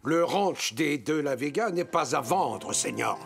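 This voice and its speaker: A man's voice: on the high side, animated, measured pace, creaky. A man playing a proud, stubborn older ranchero father in a French cartoon dub, formal and dramatic. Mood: firm refusal. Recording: loud music behind.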